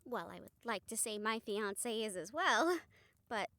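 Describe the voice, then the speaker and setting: A woman playing a gentle, supportive rehabilitator comforting a friend in an intimate audio roleplay, speaking softly, earnestly and a little hesitantly. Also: a little high, expressive, normal speed, no echo. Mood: earnest, reassuring. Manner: soft, hesitant, reassuring, earnest.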